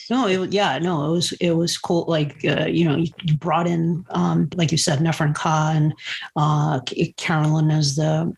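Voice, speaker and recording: A woman hosting a podcast, chatting casually and supportively like a keen fan, sometimes halting as she searches for words. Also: low-pitched, fairly even intonation, creaky, a little mellow, breathy edge. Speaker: podcast host. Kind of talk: casual enthusiastic fan chat.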